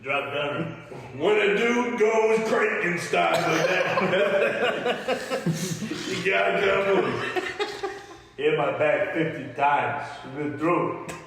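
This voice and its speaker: Man playing slow, high-voiced crude biker in echoey room. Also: lively intonation, heavily acted. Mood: brooding, crude. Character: gruff, crude, boisterous middle-aged male biker tattooist. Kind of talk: amateur comedic script table read. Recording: roomy echoing hall, distant mic, noisy.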